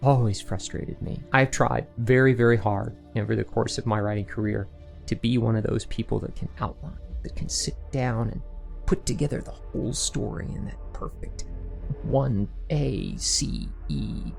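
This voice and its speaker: Man, creaky gravelly voice, guest author, casual interview talk, self-quoting, rhetorical questions, faint music behind. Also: mid-range voice, moderate pace. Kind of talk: casual interview, explaining his writing process. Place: remote online recording session.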